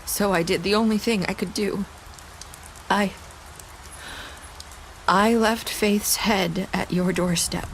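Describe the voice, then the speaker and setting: An actress playing a weary, sorrowful young woman in a video game drama, speaking in a halting, earnest, quietly intense way. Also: medium pitch, low-quality recording. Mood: defensive, guilty. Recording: steady rain noise behind the voice.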